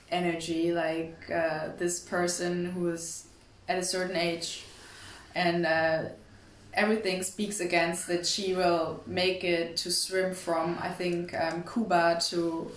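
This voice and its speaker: A young woman chats casually as an interview guest, hesitant and a bit apologetic in English. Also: fairly low pitch. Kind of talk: casual chat. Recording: echoey room with background noise.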